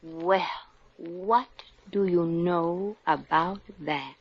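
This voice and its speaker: An actress playing a brash, fast-talking young heroine in a 1950s radio comedy. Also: female voice, fairly low pitch. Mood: evasive. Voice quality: brash, wisecracking. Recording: dull lo-fi old radio transcription.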